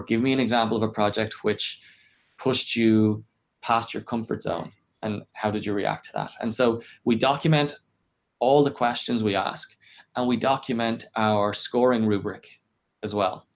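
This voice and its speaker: Low-voiced man, dull lo-fi sound. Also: fairly even intonation, normal speed. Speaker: podcast guest, engineering director. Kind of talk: conversational interview explanation. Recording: dull, lo-fi podcast audio.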